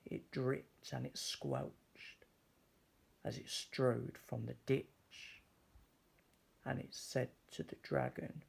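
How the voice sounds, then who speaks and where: A man reading a children's story aloud as storyteller, deliberately and with dramatic pauses. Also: mid-range voice, sing-song, vocal fry, husky.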